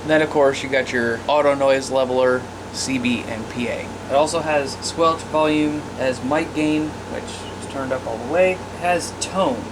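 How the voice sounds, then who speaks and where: A man's voice: mid-range voice, normal speed, background noise. An American man giving a casual, plain-spoken hands-on gear demonstration as an informal presenter. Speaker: radio hobbyist presenter.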